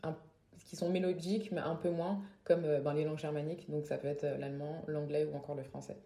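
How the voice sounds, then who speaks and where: A young woman with a Parisian accent, a singer talking casually as an interview guest, relaxed with frequent laughs and fillers. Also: sits low, fast. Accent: Parisian French. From French Caribbean family. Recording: echoey room.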